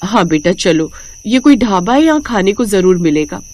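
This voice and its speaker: A woman's voice: mid-range voice, very animated, moderate pace, a little mellow, a little hiss or hum, natural voice. Woman playing a soothing, protective mother. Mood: reassuring. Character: protective, anxious adult mother. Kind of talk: cartoon moral story acting.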